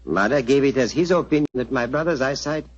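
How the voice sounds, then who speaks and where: An actor playing a worried, courteous Indian prince, formal and earnest, in a muffled, noisy 1940s radio drama. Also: male voice, mid-range voice, breathy edge, natural voice. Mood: pleading, worried. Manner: formal, earnest, deliberate phrasing.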